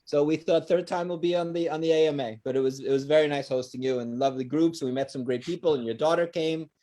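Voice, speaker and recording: A man hosting an interview, asking questions in a friendly, chatty way with quick follow-ups. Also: fairly high pitch, steady tone, warm tone. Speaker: interview host.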